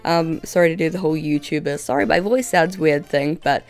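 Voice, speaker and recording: A woman's voice: sits low, brisk, faint music behind. A young woman YouTuber narrating a video essay in a wry, conversational way, just recovering from being sick, on a home mic without a pop filter. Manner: wry, conversational, with filler words in asides.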